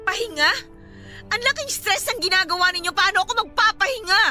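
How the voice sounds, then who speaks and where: Very high, sharp, desperate Filipino mother. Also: animated, fairly quick, full character voice. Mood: exasperated, angry. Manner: heated, sarcastic, emphatic. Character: desperate, angry young mother confronting her cruel rival. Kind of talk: Filipino radio drama acting. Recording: dramatic music bed behind the voice.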